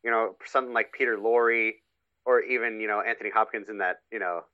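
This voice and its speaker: A man with a deep, gravelly voice, co-hosting a podcast in laid-back, joking chat. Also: steady tone, fairly quick, phone-quality sound. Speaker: podcast co-host.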